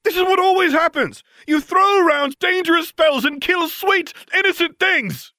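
A self-absorbed teenage boy wizard whines and lectures with theatrical flair, as a man reads him. His voice rides high with big swings in pitch, and the sound is close and dry. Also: very animated, brisk, no echo. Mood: whining. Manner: whiny and theatrical, then smugly explaining.

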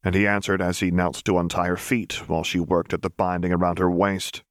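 A man with a low-pitched voice narrates fiction in an audiobook style. The reading is scripted, and the sound is dry with no room to it. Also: little pitch movement, a little fast, dry recording.

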